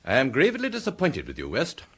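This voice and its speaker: A haughty senior police chief in a radio drama, with a husky voice that swings widely in pitch. He speaks in a cold, clipped manner and gives orders as though he expects them to be obeyed. The delivery stays stern and imperious. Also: huge pitch swings, fast, a bit bright, full character voice. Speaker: police commissioner figure.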